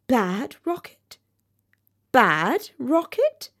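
Lady doing a stuck-up rocket character from a storybook, pompous, touchy, slow and drawn out. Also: mid-range voice, lively intonation, natural voice. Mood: indignant, slow. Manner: pompous, haughty, self-important. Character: vain, pompous, easily offended male rocket.

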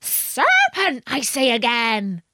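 A frantic, scornful mother pigeon from a storybook. The voice is very high and swoops dramatically, screeching with indignation and grievance, in a close, dry recording. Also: wildly swooping pitch, slow, fairly bright tone, dry recording, heavily acted. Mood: shrieking alarm.

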